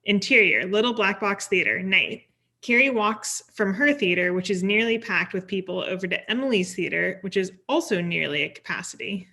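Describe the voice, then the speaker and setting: A woman's voice: medium pitch, animated, moderate pace, pushed and bright. A woman reading stage directions as scripted narration at a table read.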